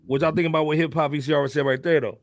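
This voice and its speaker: A man's voice: on the high side, fairly even intonation, rapid-fire, no room sound. A middle-aged American man hosting a hip-hop podcast in a slangy, conversational way. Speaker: podcast host. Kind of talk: casual hip-hop talk.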